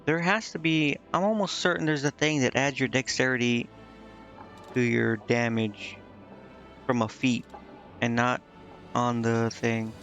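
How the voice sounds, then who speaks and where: Male streamer, gravelly fry, mid pitch, offhand muttered play-by-play of his own choices, music underneath. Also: fairly even intonation, a little slow, pauses often, a bit bright. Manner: muttering indecisively to himself. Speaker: video game streamer. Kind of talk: casual live game commentary, thinking aloud.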